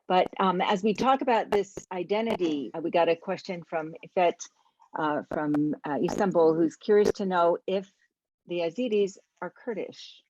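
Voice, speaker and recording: Low-voiced woman host, dry sound. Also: moderate pace, darkish tone, no room sound, dull audio. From United States. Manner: thoughtful, musing, reads out audience questions. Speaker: interview host. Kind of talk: reflective conversational interviewing.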